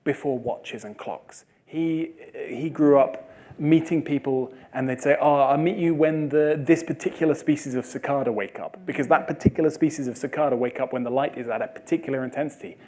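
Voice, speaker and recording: Man, speaker in a Q&A, lively storyteller, jokey, mid-pitched. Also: a little fast. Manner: lively, jokey, acts out quoted villagers. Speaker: speaker at a talk. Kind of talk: animated Q&A storytelling. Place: lecture hall with audience.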